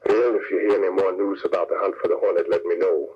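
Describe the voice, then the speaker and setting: A man playing a scheming crime boss in an old radio drama, husky, probing and coolly in command. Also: deep voice, level tone, fast. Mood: smug. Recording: muffled old radio recording.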